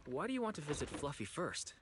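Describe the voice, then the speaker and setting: A man's voice: a little high, big pitch swings, quick pace, noisy background. A man voicing an easygoing, patient young man who listens like a supportive friend in a video game. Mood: gently puzzled.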